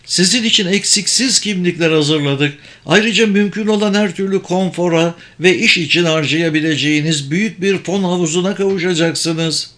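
A man's voice: high voice, moderate pace, few pauses, fairly bright tone, voice pushed up. A man voicing a superior, persuasive sorcerer in an audiobook, speaking formally and insistently. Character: persuasive, superior sorcerer who runs secret political games.

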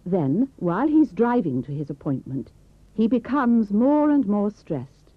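A woman narrating a textbook story in a clear, explanatory way. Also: mid-range voice, sing-song, unhurried, no echo. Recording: dry, tinny tape.

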